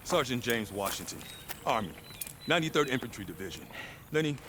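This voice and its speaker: A man playing a stern, commanding American infantry sergeant in a war game, gruff and measured, with an American accent. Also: mid-range voice, very animated, background noise, natural voice. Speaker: game character. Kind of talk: cinematic war game acting. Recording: loud music over the dialogue.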